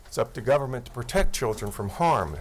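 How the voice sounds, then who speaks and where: A man's voice: mid-range voice, expressive, a little fast, a little hiss or hum. A man in his sixties, an attorney, testifying methodically before a legislative committee at a table microphone. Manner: earnest, methodical, reads legal language aloud. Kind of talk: formal testimony.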